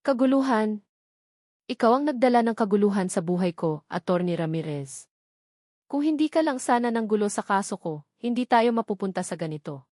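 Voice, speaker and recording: A woman's voice: medium pitch, expressive, moderate pace, a little mellow, dry recording, studio quality, close to an everyday voice. A woman voicing a proud, indignant policewoman in a romance audio story, confrontational and accusing. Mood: angry, accusing.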